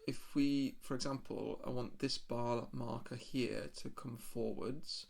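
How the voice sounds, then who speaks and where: A middle-aged man with a creaky voice talks casually and tentatively through a software demonstration, thinking aloud in a self-deprecating way. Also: mid-range voice, fairly even intonation, a little slow. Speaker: home musician demonstrating recording software. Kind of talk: casual screen-share tutorial, thinking aloud. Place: small home room, indoors.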